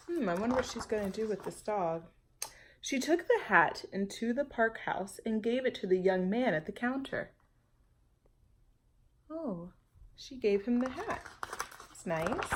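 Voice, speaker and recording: A woman's voice: on the high side, a little hiss or hum. Woman reading a storybook to young children. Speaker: storytime reader. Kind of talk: children's read-aloud with chatty asides to young listeners.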